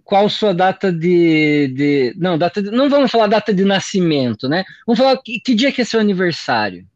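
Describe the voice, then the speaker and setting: A man's voice: sits high, big pitch swings, fairly quick, no room sound, dull audio. A man hosting a podcast, asking questions in a hesitant way, repeating words and slipping in jokes. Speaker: podcast host. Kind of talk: casual interview.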